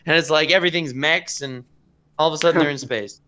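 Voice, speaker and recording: Bright, higher-voiced man debating anime. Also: fast talker, rough recording. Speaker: stream host and anime video creator. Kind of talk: casual fan debate on a group voice chat. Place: online group voice chat.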